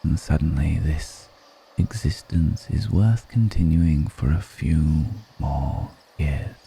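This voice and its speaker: A man playing an ancient, world-weary, seductive vampire in an intimate ASMR roleplay, speaking in a deliberate, brooding way with long pauses. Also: extremely low pitch, creaky, dark tone, slightly rough, exaggerated voice. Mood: seductive, intrigued.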